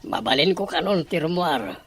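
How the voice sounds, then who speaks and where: Woman acting a suspicious, expressive melodrama character. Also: low voice, normal speed, husky. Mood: grave, suspicious. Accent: Ilocano. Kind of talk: Ilocano radio drama acting.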